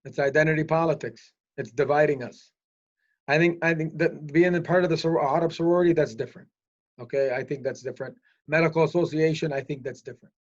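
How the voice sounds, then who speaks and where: An older man argues his view in a panel talk, pressing hard and repeating his words. His voice sits a little high. The recording sounds dull and muffled. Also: fast talker. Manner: forceful, repetitive opinionated talk. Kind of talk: panel discussion. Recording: muffled low-fidelity recording.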